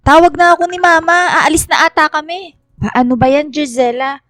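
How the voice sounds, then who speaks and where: A woman playing a sweet, sentimental young girl with a girlish, expressive way of talking in a radio drama. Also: high voice, moderate pace, dry recording, studio quality. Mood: fond. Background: music.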